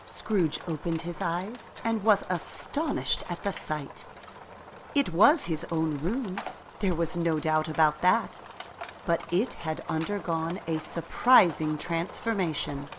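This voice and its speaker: A woman narrating a radio play in an expressive, deliberate storytelling manner, with faint noise in the background. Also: on the low side.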